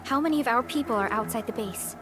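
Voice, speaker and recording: A woman voicing a composed, caring young field supervisor in a video game, measured and formal, with music playing. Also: fairly high pitch, background noise, natural voice. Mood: calm, businesslike.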